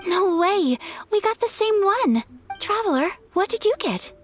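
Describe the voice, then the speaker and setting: A woman playing a gentle, earnest young noblewoman in an anime game, speaking courteously and a little hesitantly. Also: extremely high pitch, animated, unhurried, soft background music. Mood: pleasantly surprised. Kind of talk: anime video game voice acting.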